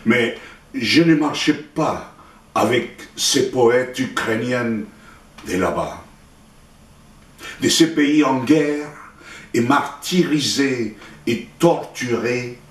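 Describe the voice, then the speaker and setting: A man from Chile with a Chilean Spanish accent, a native Spanish speaker, recites his poetry in a solemn, declamatory way with deliberate pauses. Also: mid-range voice. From Santiago, Chile. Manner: deliberate, declamatory, with pauses between short phrases. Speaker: poet reading own work. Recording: roomy echo, distant microphone.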